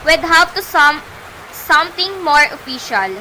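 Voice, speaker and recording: A woman's voice: sits high, lively intonation, measured pace, sharp tone, heavily acted, low-quality recording. A woman playing a cool, officious telephone operator with a formal, detached manner in an amateur radio play. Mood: formal, official. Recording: faint background noise, amateur home recording.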